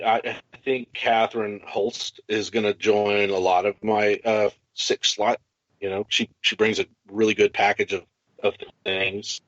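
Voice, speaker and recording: A man with a fairly low voice hosts a casual hobby podcast. He talks informally, as in relaxed conversation. The sound is dry and somewhat dull, with little room around him. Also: dry recording. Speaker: podcast host. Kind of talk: casual hobby podcast chat about a miniatures game.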